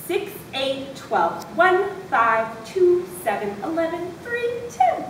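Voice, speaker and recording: Stubborn high-voiced schoolboy, acted by a woman, echoey room. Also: very animated, full character voice. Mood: earnest counting. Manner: protesting, counts aloud slowly. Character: stubborn, literal-minded schoolboy pleading for recess. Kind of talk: amateur readers' theatre performance. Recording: distant, very echoey room, noisy with rumble.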